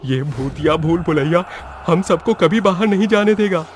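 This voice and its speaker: A man's voice: on the high side, lively intonation, normal speed, slight background noise, natural voice. An actor playing a frightened young man in a horror story, speaking in an urgent, exclamatory way. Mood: alarmed. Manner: exclamatory, urgent, panicky delivery. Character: frightened young man trapped in a haunted maze. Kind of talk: dramatised horror story acting. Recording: music under the voice.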